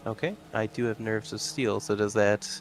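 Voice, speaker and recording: A man's voice: on the low side, steady tone, moderate pace, faint music behind. A man playing a tabletop game, speaking in a casual, polite way in and out of character. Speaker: player.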